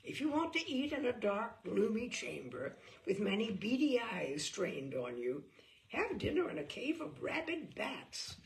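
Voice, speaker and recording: A woman's voice: fairly high pitch, very animated, a little slow, gravelly, poor audio. A woman giving an arch, theatrical, mock-serious reading of a humorous book. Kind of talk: theatrical reading aloud of comic prose.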